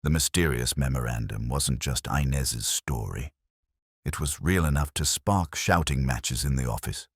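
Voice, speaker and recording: Very deep, husky male audiobook narrator. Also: normal speed, dry recording. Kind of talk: scripted first-person hardboiled detective narration.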